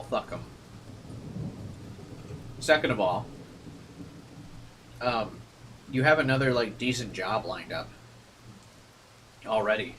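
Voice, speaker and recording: A man's voice: on the low side, fairly bright tone. Casual male streamer, distant mic with hiss. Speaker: streamer playing a visual novel. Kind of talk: casual commentary mixed with reading narration aloud.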